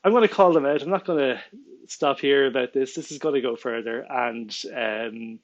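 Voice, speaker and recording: A man's voice: mid-range voice, moderate pace, dull audio. Exasperated Irish man ranting to camera. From Ireland. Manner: exasperated, restarts often, laughs in disbelief, gestures with hands. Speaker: vlogger and small business owner. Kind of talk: indignant direct-to-camera rant. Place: small room indoors at home.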